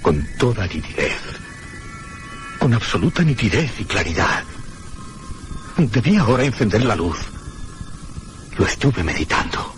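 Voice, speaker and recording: Actor as low-voiced, fearful man telling horror. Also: big pitch swings, background noise. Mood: uneasy, anxious. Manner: literary, deliberate, suspenseful. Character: fearful man narrating a ghostly haunting at night. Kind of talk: dramatic first-person horror radio play narration.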